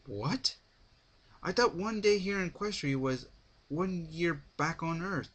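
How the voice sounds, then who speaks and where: Stubborn human hero, acted by a male reader, impatient and blunt, unhurried storybook delivery. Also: on the high side, expressive, fairly bright tone, pitched above the performer's own voice. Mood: surprised. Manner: blunt, argumentative.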